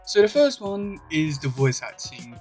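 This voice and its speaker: Higher-pitched young man chatting casually. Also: sing-song, a little slow, a little mellow. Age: young adult. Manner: rambling, hesitant, enthusiastic. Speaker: YouTuber. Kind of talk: casual vlog chat to camera. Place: small indoor room at home. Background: music playing.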